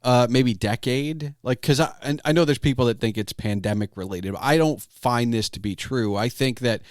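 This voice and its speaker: Guy hosting a podcast, casual chat, kinda halting w/ repeated words, mid pitch, super dry sound. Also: dry recording. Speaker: podcast host. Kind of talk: casual conversational interview.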